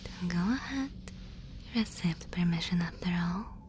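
A woman's voice: fairly low pitch, expressive, normal speed, a little mellow, slight background noise, close to an everyday voice. A woman playing a polite, clinical, curious female alien in an ASMR roleplay, whispering in a formal, careful way. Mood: gentle, careful. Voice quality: breathy whisper.

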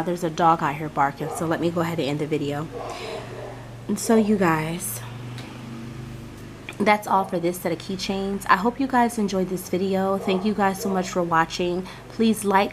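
A woman hosting a casual unboxing vlog, hoarse from a cold, chatty and gushing as she talks to her viewers. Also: mid-range voice, background noise. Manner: chatty, gushing, addresses viewers as "you guys". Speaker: crafting channel host.

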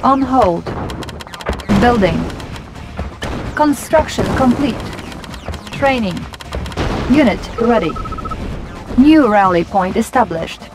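A female military command announcer delivers short, crisp status lines in a strategy game. Her voice sits somewhat high and stays businesslike. Loud music and gunfire play behind her. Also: animated, slow, long pauses. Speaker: battle announcer. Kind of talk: clipped scripted game announcements. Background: loud game music, artillery and gunfire.

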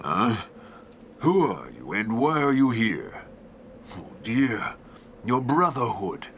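An anxious, pleading male scientist from a video game. His lowish voice swings widely in pitch and moves slowly. Faint ambience sits behind it. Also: big pitch swings, fairly bright tone, a little hiss or hum, heavily acted. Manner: slow, pleading, swooping. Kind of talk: video game character acting.

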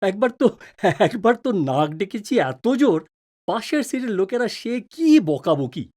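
A woman's voice: mid-range voice, sing-song, moderate pace, a little mellow. A woman playing a wary, joking middle-aged father in an audio drama, with a teasing, exclamatory manner. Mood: friendly, chatty. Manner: teasing, mock-pompous, exclamatory.

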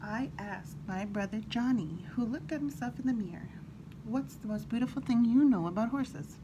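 A woman storyteller reading a children's picture book aloud in an animated, expressive way, with brief asides. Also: fairly high pitch, normal speed. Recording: clearly audible background noise.

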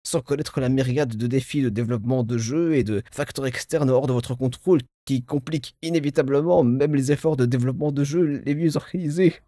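A man's voice: medium pitch, a little fast, hardly any pauses, warm tone, no echo, studio quality, voice pushed up, natural voice. A man voicing an ashamed, earnest fan confessing apologetically in a game fan dub, increasingly strained. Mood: ashamed, anguished. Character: ashamed, anguished fan reading out a confession letter.

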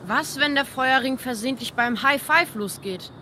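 A woman acting as an eager young boy in a story game. The voice is high and very bright, youthful and earnest, over a faint low rumble. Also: moderate pace. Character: eager, imaginative young boy who loves comics and exploring. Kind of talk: naturalistic video game dialogue.

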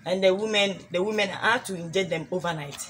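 A woman's voice: lowish voice, quick pace, fairly bright tone. A middle-aged woman from Ghana, a fish farmer, earnestly explaining her work step by step outdoors in her yard. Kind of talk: casual explanation on a farm tour. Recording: outdoor recording with clear background noise.

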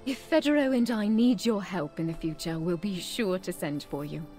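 A woman's voice: fairly low pitch, normal speed, warm tone, faint music behind, close to an everyday voice. An actress playing a resolute, protective woman mentor in a fantasy game. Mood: resolute, gentle. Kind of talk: scripted fantasy game voice acting.

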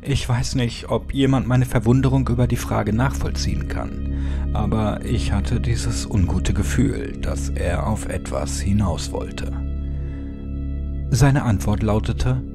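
A man reading a scripted horror story as an audiobook narrator, measured and suspenseful, with dramatic pauses. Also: fairly low pitch, vocal fry. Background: music.

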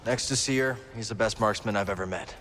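A young Jedi hero played by a man speaks in a lowish, steady voice, plain and reassuring. Noticeable ambient noise sits behind this game performance. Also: a little fast, close to an everyday voice. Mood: matter-of-fact. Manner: calm, plain-spoken. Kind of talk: video game cutscene acting.

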